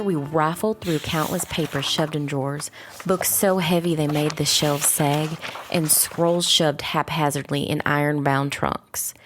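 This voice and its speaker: Low, creaky young witch. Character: young farmhouse witch, wary, resolute older sister. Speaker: first-person narrator. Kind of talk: dramatic scripted narration, dark fantasy audio drama. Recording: music bed under the voice. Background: music.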